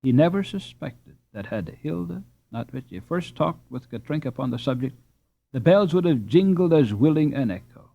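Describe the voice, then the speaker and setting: Man, audiobook narrator, animated rising and falling delivery, muffled lo-fi sound. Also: fairly high pitch, normal speed, vocal fry. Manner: gentle expressive reading with lilting emphasis. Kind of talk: scripted storybook narration. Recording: dull lo-fi recording.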